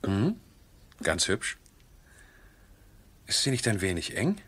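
An actor playing an eager, persuasive aristocratic husband in a radio drama, speaking in an earnest, coaxing, gentlemanly way. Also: male voice, on the low side, huge pitch swings, slow pace, husky, no echo, heavily acted. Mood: pleased, teasing. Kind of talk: 1970s German radio drama acting.

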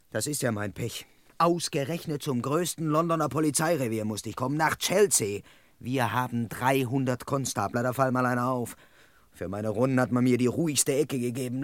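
A young male police constable played by a radio actor in a vintage crime play, with a medium-pitched voice in a very dry, close sound. He is earnest and dutiful, a bored but ambitious beat officer given to musing. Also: dry recording, lightly acted. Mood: gloomy, resigned. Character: bored, ambitious 24-year-old London police constable, eager and deferential. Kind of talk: 1970s German radio play acting, monologue and dialogue.

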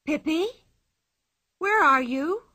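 A woman's voice: sits high, lively intonation, unhurried, pushed and bright, pitched above the performer's own voice, exaggerated voice. A woman voicing a brave, eager young boy in a storybook reading, in a raised voice.

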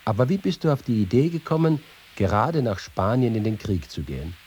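A man's voice: lowish voice, animated, fairly quick, a little mellow, slight background noise. A man reading a scripted literary account as a radio feature narrator.

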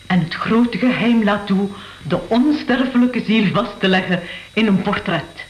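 A woman's voice: mid-range voice, a little slow, close to an everyday voice. An actress playing a mysterious, intense castle lady in a radio drama, speaking in a solemn, theatrical manner. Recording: roomy, echoing radio play studio.

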